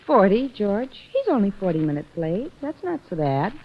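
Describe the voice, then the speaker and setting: An actress playing a detective's lively female secretary and sidekick in a 1940s radio drama. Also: mid-range voice, normal speed. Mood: teasing. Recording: dull vintage radio recording, loud music.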